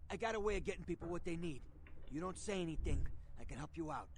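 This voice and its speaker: An actor playing a wounded, whining, foul-mouthed vampire servant in a video game, strained and agitated. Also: male voice, a little high, expressive, fast talker. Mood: pained, pleading. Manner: agitated, cursing, pleading. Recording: clear background noise, game ambience.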